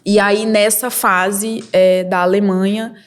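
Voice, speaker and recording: A young woman from Brazil, a model and entrepreneur, telling her story as a podcast guest in a candid, lively way with quick bursts and laughs. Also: mid-range voice. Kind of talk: casual interview storytelling.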